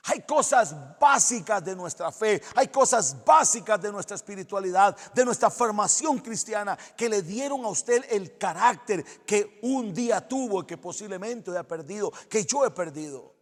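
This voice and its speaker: A man's voice: high-pitched, lively intonation, normal speed, few pauses, long reverb tail. A male pastor preaching a sermon in earnest, exhorting tones, repeating phrases for emphasis.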